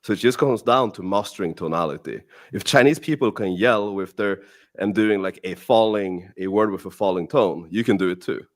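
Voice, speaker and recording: A man with a somewhat low voice gives blunt, emphatic opinions in podcast banter. He swears often and states things flatly. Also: normal speed. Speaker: dating coach. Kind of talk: casual podcast chat.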